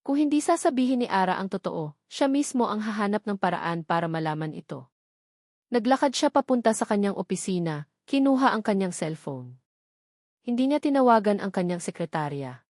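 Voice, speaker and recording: A woman narrates a scripted love story at a steady, measured pace. Her voice sits at a middle pitch and sounds close and dry, with no room around it. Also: dry recording.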